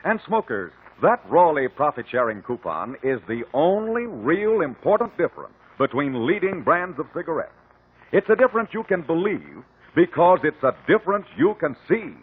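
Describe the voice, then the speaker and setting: Male 1950s ad announcer, higher-pitched, lively, declamatory delivery, thin lo-fi radio recording. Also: normal speed, breathy edge. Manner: emphatic, declamatory. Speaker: commercial announcer. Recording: tinny lo-fi 1950 radio broadcast.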